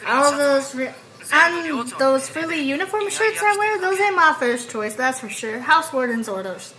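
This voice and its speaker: Woman acting a high-pitched scrappy Southern country boy. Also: animated, unhurried, low-quality recording. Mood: irritated. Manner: folksy, combative, drawling rural slang. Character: scrappy hot-tempered young country boy, proud and defensive. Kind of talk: amateur script voice acting. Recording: music playing behind the voice.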